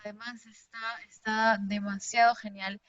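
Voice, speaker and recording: A Peruvian woman co-hosts a casual interview. She has an average-pitched voice and talks informally and with enthusiasm. The sound is dull and very dry, like an online call. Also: flat delivery, moderate pace, a bit bright, no echo. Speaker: interview co-host. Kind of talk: casual chat.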